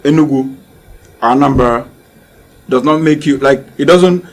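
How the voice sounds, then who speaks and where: A man's voice: mid-range voice, a little slow. Insistent young Nigerian man. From southeastern Nigeria. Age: young adult. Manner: insistent, repeats himself to take the floor. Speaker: young political candidate. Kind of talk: panel discussion.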